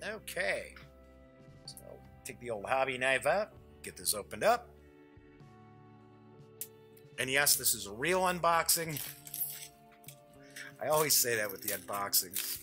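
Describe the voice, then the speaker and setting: A man's voice: medium pitch, big pitch swings, measured pace, long pauses, sharp tone, soft background music. A middle-aged man hosting a casual live unboxing show, chatty and jokey, often wandering off on small asides. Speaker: show host.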